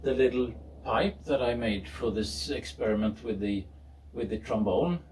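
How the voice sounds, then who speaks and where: A man's voice: medium pitch, moderate pace, darkish tone, slight background noise. Man, garage tinkerer, mid-range voice, informal explanation, hesitant, self-deprecating, recorded at a distance. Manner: hesitant, thinking aloud. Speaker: garage tinkerer presenting own experiment. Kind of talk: informal explanatory video talk. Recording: voice far from the mic.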